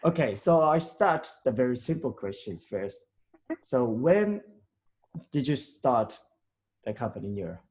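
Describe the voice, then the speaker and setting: A man's voice: mid-range voice, sing-song, a little slow, a little mellow. A Japanese man with a slight New Zealand accent, interviewing a guest in English in a casual, hesitant way with many fillers and restarts.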